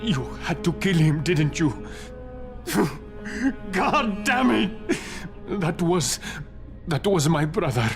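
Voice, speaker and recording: Wounded male survivor, very slow, high voice, pained and grief-stricken game performance. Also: very animated, vocal fry, over music, full character voice. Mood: anguished. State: in pain, distraught. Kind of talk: video game cutscene acting.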